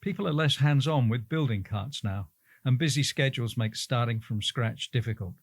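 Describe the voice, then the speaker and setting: A man's voice: mid-range voice, normal speed, no room sound. A man reading out prepared interview answers.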